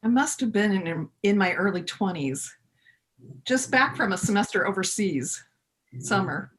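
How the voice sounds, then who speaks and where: A woman playing a folksy storyteller in her fifties in a readers theater monologue, conversational and confiding, with dramatic pauses. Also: medium pitch, a bit bright, rough recording. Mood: nostalgic, casual.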